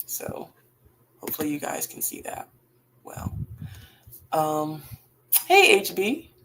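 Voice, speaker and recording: A woman with a raspy voice talking casually and reflectively on a livestream while grieving, in halting phrases that sometimes trail off. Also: deep voice, sing-song, a bit bright, a little hiss or hum. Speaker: livestream host. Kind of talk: casual, reflective livestream talk about faith and grief.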